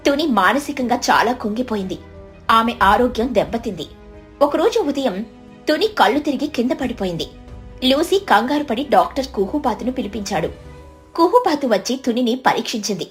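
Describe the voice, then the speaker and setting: A woman's voice: fairly high pitch, lively intonation, normal speed, faint music behind. A woman narrating a scripted children's story.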